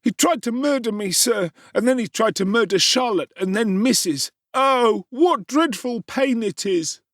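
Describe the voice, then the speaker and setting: A sly teenage boy who wails and whines in a high, strained voice, played by a man. He pleads and tattles in shrill, sobbing bursts. The sound is close and dry, with no room around it. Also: lively intonation, measured pace, fairly bright tone, no room sound, exaggerated voice. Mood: wailing.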